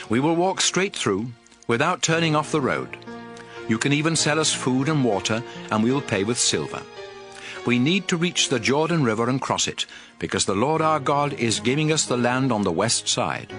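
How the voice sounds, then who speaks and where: A man narrating scripture as a dramatized audio Bible, with a measured, expressive storytelling delivery. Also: medium pitch.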